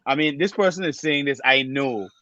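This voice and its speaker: A man co-hosting a casual music industry chat, thinking out loud and repeating words as he goes. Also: mid-range voice, moderate pace, no room sound, lo-fi sound. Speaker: podcast co-host.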